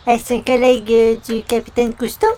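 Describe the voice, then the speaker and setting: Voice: mid-range voice, flat delivery, normal speed, warm tone, slight background noise, natural voice. A performer playing an overly courteous, naive visitor in a radio comedy, speaking in a formal, flowery, pompous way. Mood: courteous, puzzled. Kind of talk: 1940s-style radio comedy acting.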